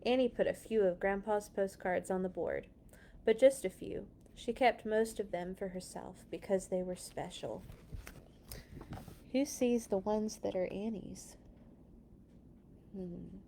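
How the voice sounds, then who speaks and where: A woman reads a picture book aloud to children with plenty of expression and stops to talk to them. Her voice is mellow and gravelly, with a dark tone. A faint hiss sits behind it. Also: medium pitch, unhurried, pauses often. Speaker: children's story reader. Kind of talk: children's read-along storytelling.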